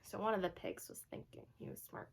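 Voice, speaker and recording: A woman storyteller reading a storybook aloud to children, expressive, with asides and questions to her young listeners. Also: fairly quick, a little hiss or hum.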